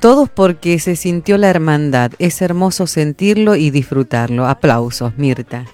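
A radio co-host reading listener messages aloud and repeating a phone number slowly. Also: medium pitch, animated, a little mellow, faint music behind. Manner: clear, deliberate number reading.

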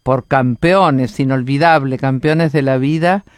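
A man's voice: mid-range voice, a little slow, dry recording, clean studio sound. A man hosting an interview, giving frequent encouraging backchannels. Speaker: interview host. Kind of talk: friendly interview.

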